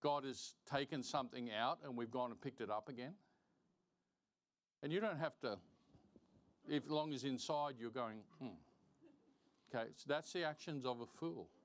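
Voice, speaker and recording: A man teaching a Bible lesson as a preacher, deliberate and emphatic, repeating phrases for stress and reading out verses. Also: mid-range voice. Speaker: preacher teaching Sunday school. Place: church, live-streamed service.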